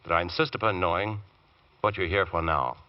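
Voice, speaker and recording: An actor as a deep-voiced, earnest radio-drama lawyer. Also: male voice, a little fast, natural voice. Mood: stern, curt. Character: earnest, harried male lawyer and devoted husband, easily angered. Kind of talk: 1940s radio drama acting. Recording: dull, muffled old radio recording.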